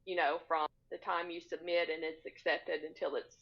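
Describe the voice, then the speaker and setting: A woman's voice: sits low, flat delivery, moderate pace, gravelly. A woman speaking casually as a guest on a podcast, recorded over a video call with dull, lo-fi sound. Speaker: podcast guest, sewing designer. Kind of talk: casual interview chat. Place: split-screen video call.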